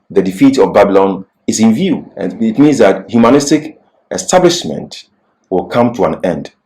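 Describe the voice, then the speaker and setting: A man's voice: fairly low pitch, a little mellow. A man giving an expository Bible lecture as a teacher, repeating key phrases for emphasis.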